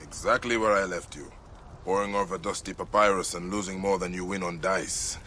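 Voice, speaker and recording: A man's voice: low-pitched, steady tone, brisk, sharp tone, a little hiss or hum. A man voicing a weary, wry warrior of ancient Egypt in a video game, speaking tersely and solemnly. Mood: wry, teasing. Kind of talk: dramatic video game acting. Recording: music behind the voice.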